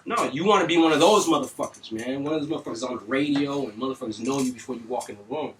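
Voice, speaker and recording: A middle-aged man from North Miami telling stories in a casual studio interview, animated and full of street slang and cursing. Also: medium pitch, rapid-fire. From North Miami, Florida. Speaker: music engineer guest. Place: recording studio. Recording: distant mic, faint noise.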